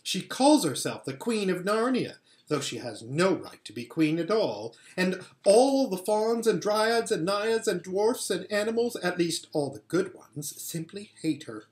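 A man voicing an excited, trusting little girl in a storybook read-aloud. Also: high-pitched, a little slow, hardly any pauses, voice pushed up. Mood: earnest, eager.

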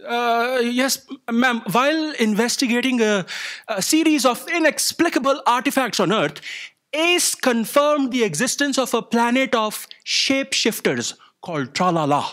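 Man acting flustered, hesitant, animated older assistant. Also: very high voice, a little slow, full character voice. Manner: hesitant, stammering. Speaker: stage actor. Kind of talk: staged audio drama acting. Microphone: stand microphone on stage.

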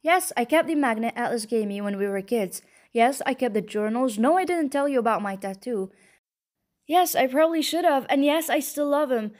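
A woman narrator voicing a furious, wounded young woman who shouts bitterly. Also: a little high, close to an everyday voice.

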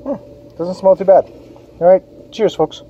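A man's voice: high-pitched, measured pace, narrow, tinny audio, a little hiss or hum. A man from Western Canada, a parent, giving a casual, chatty beer review on an outdoor patio. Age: adult, parent of kids. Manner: chatty, informal, reads label aloud.

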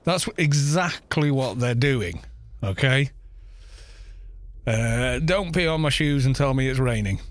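A man's voice: mid-range voice, lively intonation, slow, long pauses. A man streaming a game, chatting casually in a rambling, self-deprecating way on a close desk mic.

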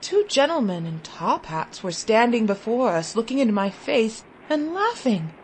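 A woman reading a literary story in the first person, expressive, vivid and deliberate. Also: a little high, a little hiss or hum, low-quality recording. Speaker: story reader.

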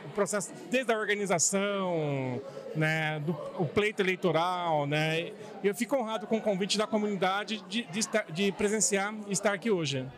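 A man, answering an interview politely in halting, measured phrases. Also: high-pitched. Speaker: interviewed guest. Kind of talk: spontaneous on-camera interview. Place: indoor hall at community event. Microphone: handheld interview microphone held by interviewer. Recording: crowd chatter behind the voice. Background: clear crowd murmur.

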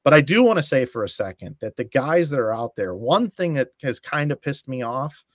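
A man's voice: mid-range voice, very animated, moderate pace, no echo, studio quality. A middle-aged man, a guest bowling commentator on a video call from home, telling long stories and acting out quoted dialogue as he goes. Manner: storytelling, acting out quoted dialogue, restarts phrases. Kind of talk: casual podcast chat. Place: room at home.

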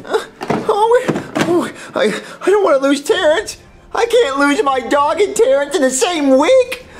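A man's voice: very high voice, expressive, unhurried. A man voicing an anxious, naive little boy puppet in a scripted comedy, hesitant and stammering. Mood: tearful distress. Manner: hesitant, stammering, repeats phrases when worried.

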